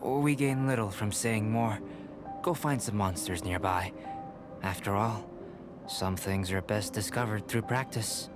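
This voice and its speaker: A man's voice: on the low side, lightly acted. Man as a composed, cerebral young alchemist. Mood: coolly persuasive. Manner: calm, formal, measured, precise. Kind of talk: video game voice acting. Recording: music behind the voice.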